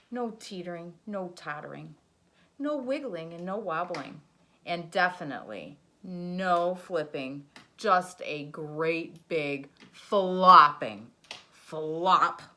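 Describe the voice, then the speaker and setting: Woman, teacher, storybook read-aloud, lively sing-song pitch, bright tone, slow. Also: slight background noise. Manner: animated, sound effects, asks listeners questions.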